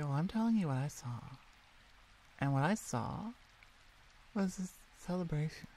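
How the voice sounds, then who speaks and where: A woman voicing a smug, teasing orc shaman in an ASMR roleplay, soft-voiced and evasive. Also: deep voice, creaky, a little hiss or hum, exaggerated voice. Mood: matter-of-fact.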